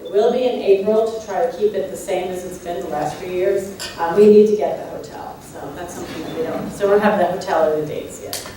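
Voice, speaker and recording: A woman with a mid-pitched voice makes informal conference announcements as a co-chair. She is a little hesitant as she goes. The room echoes heavily and she sounds away from the mic, over faint noise. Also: fairly quick, few pauses, mellow, recorded from a distance.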